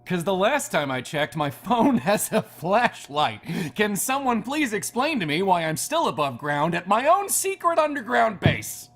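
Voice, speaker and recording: An actor playing an arrogant, impatient, demanding boss in an audio drama, sarcastic and quick to interrupt. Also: male voice, sits high, sing-song, a bit bright, heavily acted. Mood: irritated, sarcastic.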